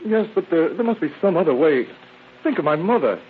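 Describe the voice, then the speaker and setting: A man's voice: a little high, very animated, fairly quick. An actor in a 1930s radio drama playing an agitated, well-to-do drug addict who stammers through his protests. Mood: pleading. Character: indignant then pleading drug-addicted well-known actor, prominent woman's son. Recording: muffled, noisy old radio broadcast.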